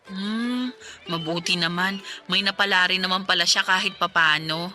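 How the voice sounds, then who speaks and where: A woman's voice: on the low side, normal speed, pushed and bright, soft background music. An actress in her twenties playing a polite, curious young housemaid from the province in a radio drama. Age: 20s. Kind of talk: Tagalog radio drama acting.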